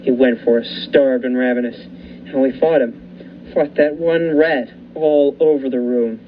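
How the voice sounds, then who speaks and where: A man's voice: medium pitch, fairly even intonation, lightly acted. A young man voicing a haunted lighthouse keeper, narrating. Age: young adult. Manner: measured, dramatic, lingering pauses. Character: male lighthouse keeper recounting a nightmare. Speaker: student actor. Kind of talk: suspenseful radio drama narration. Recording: muffled with faint hiss.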